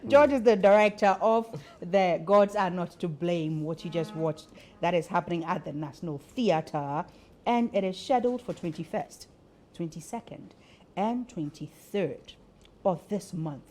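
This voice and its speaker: A Ghanaian woman presents live breakfast television in a low-pitched voice. She is chatty, effusive and teasing, and there is some noise behind her. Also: measured pace, darkish tone. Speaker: host of a breakfast TV show.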